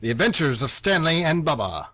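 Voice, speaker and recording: A man reads stage directions as narrator of a radio play. His pitch moves freely, and the sound is close and dry. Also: sing-song, a little fast, fairly bright tone, dry recording.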